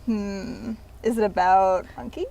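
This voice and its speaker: A woman's voice: mid-range voice, fairly even intonation, a little slow, gravelly, warm tone, dry recording, muffled recording. A woman doing an eager puppet sidekick. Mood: playful. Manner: plays along, guesses answers, laughs at jokes.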